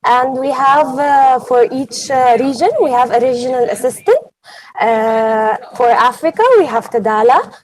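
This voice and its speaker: A woman from Egypt presenting her team in an online webinar, briskly listing names and roles. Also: high voice, rough recording. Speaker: committee assistant presenting.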